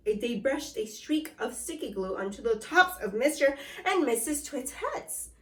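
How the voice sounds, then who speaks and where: A woman teacher reading a storybook aloud to young children, with animated call-and-response prompts. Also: on the high side, reverberant. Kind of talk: read-aloud lesson for children.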